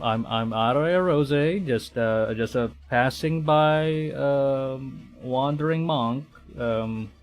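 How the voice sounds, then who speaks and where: A man from the Philippines streaming a game, reacting wryly with stammers and reading the text aloud. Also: medium pitch. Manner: hesitant, stammering, wry reactions. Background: game music.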